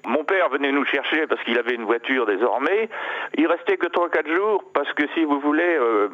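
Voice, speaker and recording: A man in his seventies from Normandy, a sculptor interviewed by phone, talking in a halting way with many restarts and fillers, chuckling now and then. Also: fairly high pitch, expressive. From Normandy, France. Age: 70s. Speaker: sculptor guest. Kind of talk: casual interview over the phone. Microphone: telephone line. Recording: muffled phone line.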